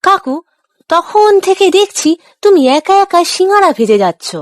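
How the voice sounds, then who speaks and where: A woman's voice: very high-pitched, big pitch swings, normal speed, no echo, studio quality. A woman voicing an earnest, pleading, helpful young village boy in a children's cartoon. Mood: eager.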